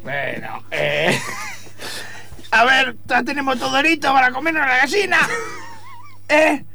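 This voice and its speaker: Man acting a brusque Argentine football coach, animated. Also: very high voice, a little slow, gravelly, soft background music, heavily acted. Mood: brusque, irritated. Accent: Rioplatense Argentine. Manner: brusque, impatient. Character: gruff, dismissive male football coach in dressing room.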